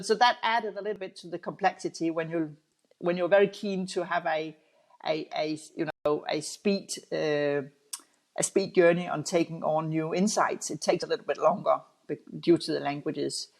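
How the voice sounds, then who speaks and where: A middle-aged Danish woman with a Danish accent, answering interview questions thoughtfully, with many pauses and restarts. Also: on the low side, creaky, a little mellow. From Denmark. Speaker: guest, audit executive.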